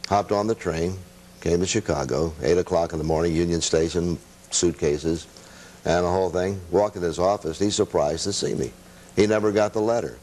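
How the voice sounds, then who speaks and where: An older man with a very low voice talks as a radio veteran and interview guest. He tells anecdotes in a halting, modest, self-deprecating manner, casually looking back. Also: a little mellow. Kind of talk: casual chat, reminiscing.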